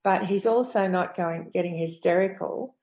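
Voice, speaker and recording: A woman giving her opinions in a measured way as a guest in a panel discussion. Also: lowish voice, dark tone. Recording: echoey room sound.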